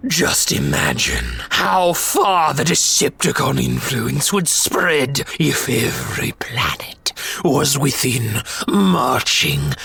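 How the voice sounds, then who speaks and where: A man playing a sinister, gloating robot warlord in a fan dub, speaking with theatrical mock politeness in a husky, gravelly, breathy voice. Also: a little high, pushed and bright, heavily acted. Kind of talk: scripted fan dub acting.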